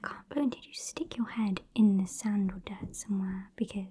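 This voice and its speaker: A woman's voice: medium pitch, moderate pace. A woman playing a haughty, sassy female vampire with a British accent in a whispered ASMR roleplay, condescending and exasperated. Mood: exasperated scorn. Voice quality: whispery, breathy. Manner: condescending, exasperated, softly scolding. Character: sassy, haughty old female vampire scolding her fledgling.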